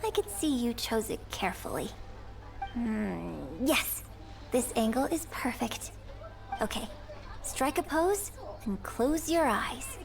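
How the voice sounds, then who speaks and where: A woman voice acting a graceful, polite and gently playful young woman in a video game. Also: on the high side, lively intonation, slow, gravelly, far from the mic, music in the background, heavily acted. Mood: playful, composed.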